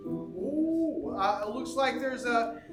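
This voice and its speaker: An actor playing a smooth-talking, genial studio boss hosting a party in a staged musical reading. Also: male voice, extremely high pitch, very animated, takes it slowly, recorded from a distance, full character voice. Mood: brisk, showy. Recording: roomy stage recording with loud music.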